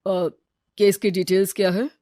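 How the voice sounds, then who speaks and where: A woman's voice: mid-range voice, little pitch movement, normal speed, dark tone, no room sound, clean studio sound, natural voice. A woman voicing a devoted, commanding young male doctor with a firm manner in a story.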